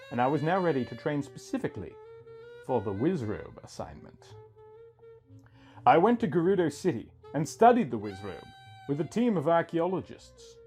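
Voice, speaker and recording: A man's voice: a little high, darkish tone. A man narrating a scripted first-person story. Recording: music under the voice. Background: music.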